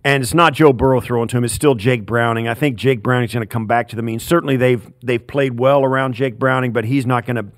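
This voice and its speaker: A man's voice: medium pitch, fairly even intonation, fast, hardly any pauses, dry recording. Older man, former player, co-host, easygoing, self-deprecating, dry close sound. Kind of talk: casual sports chat.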